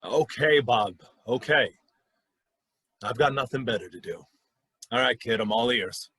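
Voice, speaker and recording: A husky, skeptical cabaret boss, played by a man in a radio drama. He is curt and sardonic with a soft heart underneath, in a mid-pitched voice. The sound is dry, with no room on it. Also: lively intonation, unhurried, dry recording, full character voice. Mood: wry, resigned. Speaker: actor in a radio play. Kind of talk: radio play acting.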